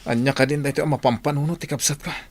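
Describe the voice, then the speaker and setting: A man's voice: a little high, steady tone, very fast, close to an everyday voice. A man playing an earnest, wronged younger brother in a radio drama, with an Ilocano accent. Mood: troubled.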